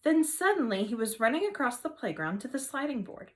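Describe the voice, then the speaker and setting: A woman teacher reading a children's novel aloud in an expressive storytelling manner. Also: a little high, fairly quick. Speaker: third grade teacher.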